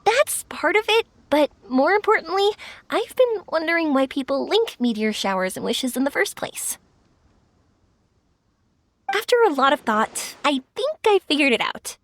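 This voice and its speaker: A woman's voice: sits high, big pitch swings, normal speed, a bit bright, full character voice. A woman playing a cheerful, sincere, bubbly young woman in a video game, speaking expressively over music. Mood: earnest, bright. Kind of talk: video game character acting.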